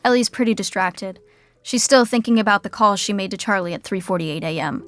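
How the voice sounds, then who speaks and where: A woman's voice: medium pitch, fairly quick, no echo, soft background music, natural voice. A woman performing wry, conversational scripted narration for an audio sitcom.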